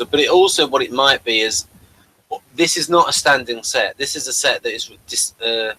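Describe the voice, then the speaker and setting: Bright-voiced man chatting casually. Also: medium pitch, husky, low-quality recording. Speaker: podcast host reviewing classic TV episodes. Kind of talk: casual review chat.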